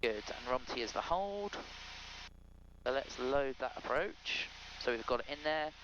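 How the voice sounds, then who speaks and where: A man's voice: medium pitch, animated, unhurried, lo-fi sound, background noise. A man in his thirties on an aviation headset in a small plane, briefing procedures in methodical, clipped checklist phrases. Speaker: pilot. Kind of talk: procedural cockpit briefing between pilots. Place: small light aircraft cockpit in flight. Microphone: aviation headset boom mic.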